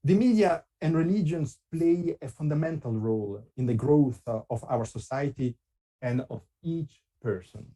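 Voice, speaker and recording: A man with an Italian accent, a guest speaker giving a prepared lecture in English, his second language, in slow measured phrases with long pauses. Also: a little high, expressive, mellow.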